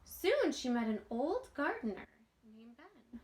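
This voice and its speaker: A woman reads a children's storybook aloud in a fairly high voice that moves up and down a lot. She takes her time, setting each phrase apart with deliberate pauses, like a teacher reading to young listeners. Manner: expressive, deliberate, pausing between phrases.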